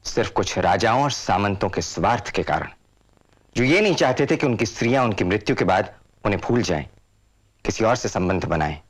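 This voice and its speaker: An actor playing a passionate, indignant social reformer in a period drama, declaiming like an orator. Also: male voice, lowish voice, animated, brisk. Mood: earnest oratory. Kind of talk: Hindi period drama acting.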